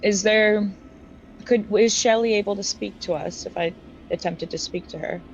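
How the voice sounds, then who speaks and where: A woman's voice: medium pitch, moderate pace. A woman chatting casually while playing a tabletop game. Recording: dull, slightly noisy audio.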